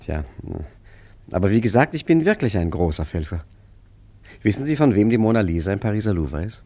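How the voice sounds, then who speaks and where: An actor playing a wry, philosophical street painter and art forger in a German radio play, talking in a chatty, mock-grand, ironic way. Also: male voice, lowish voice, big pitch swings, fairly quick, dark tone, no echo. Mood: sly, persuasive.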